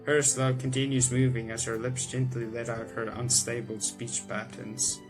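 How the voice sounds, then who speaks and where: A young man hosting a gaming video, reading the game's text aloud and chatting about it with restarts and quick laughs. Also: mid-range voice, flat delivery, music in the background, poor audio. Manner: chatty, self-correcting, breaks into laughs and reactions. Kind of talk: let's-play reading game text aloud with comments.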